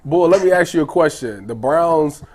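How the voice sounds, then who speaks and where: A man's voice: fairly high pitch, animated, normal speed. A man hosting a sports talk show, putting questions to a colleague in casual conversation.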